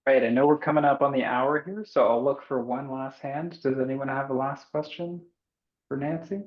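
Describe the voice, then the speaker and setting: A man's voice: medium pitch, moderate pace. A man hosting an online workshop, wrapping up with announcements over a video call in a plain manner. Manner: plain announcing, flat delivery. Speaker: event host. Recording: roomy, dull video-call audio.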